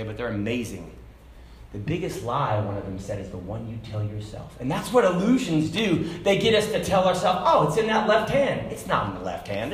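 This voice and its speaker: A man preaching a sermon to a congregation, talking casually and then building to loud, fervent shouts. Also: mid-range voice, a little hiss or hum. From Hong Kong. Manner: conversational preaching, rising to shouts. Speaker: pastor. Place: church sanctuary. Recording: echoey church room.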